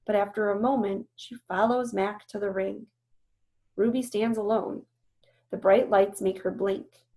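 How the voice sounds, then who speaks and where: A woman's voice: mid-range voice, creaky, warm tone. A woman teacher reading a storybook aloud and explaining it, instructive and often checking that listeners understand.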